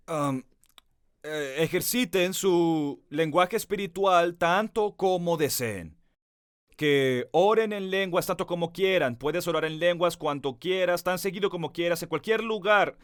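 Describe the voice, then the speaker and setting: A man preaching a sermon as pastor. He speaks earnestly, talks straight to the listener and slows down to stress key words. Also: fairly high pitch, fairly bright tone, dry recording, studio quality. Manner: addresses the listener directly.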